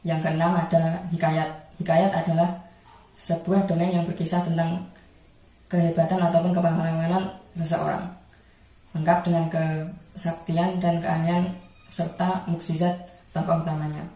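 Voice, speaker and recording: A young man, a student presenter, explaining material in halting short phrases. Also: a little high, flat delivery, mellow. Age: young adult. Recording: very echoey room, faint music.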